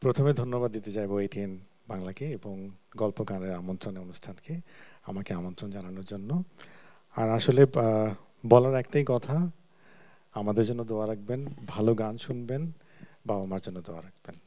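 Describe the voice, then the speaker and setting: A man's voice: fairly low pitch, a little fast, pauses often, mellow. A man with a Bangladeshi accent, a guest singer talking politely and modestly in an interview.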